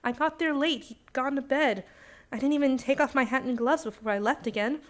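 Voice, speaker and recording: An actress playing an anxious, loyal young secretary in a radio suspense play, urgent and earnest. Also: female voice, sits high, a little fast, lightly acted. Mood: pleading.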